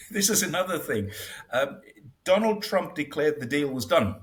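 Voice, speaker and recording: Animated man talking politics. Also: a little high, measured pace. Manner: animated, wry, acts out quotes with laughs. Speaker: interview guest. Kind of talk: casual conversational commentary.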